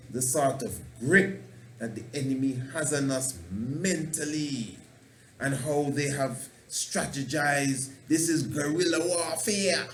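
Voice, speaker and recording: A man's voice: mid-range voice, a bit bright, slight background noise. A man, a priest hosting a radio talk show, preaching in a passionate, rambling way and playing with words. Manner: passionate, rambling, fond of wordplay. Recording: roomy echo.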